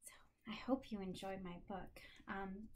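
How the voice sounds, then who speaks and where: A woman, a teacher reading aloud and explaining simply to children in an unhurried, questioning way. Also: mid-range voice, fairly even intonation, a little mellow, slight background noise. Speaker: special education teacher.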